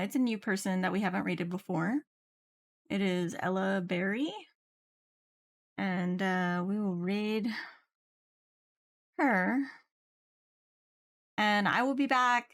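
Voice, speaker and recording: A woman's voice: mid-range voice, slow pace, pauses often, dry recording, clean studio sound. A woman game streamer chatting casually on a livestream, musing aloud in loose, half-finished thoughts. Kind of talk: casual livestream chat while gaming. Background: game music.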